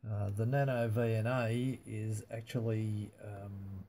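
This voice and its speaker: Male hobbyist demonstrator: mellow, very even delivery, halting and matter-of-fact, noisy recording. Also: lowish voice. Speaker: amateur radio hobbyist demonstrating antenna tuning. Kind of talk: casual hands-on demonstration, narrated live.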